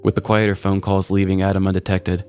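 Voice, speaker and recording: A man's voice: low-pitched, completely flat delivery, fast, a little mellow, faint music behind. A man reading a scripted comic story as a podcast storyteller.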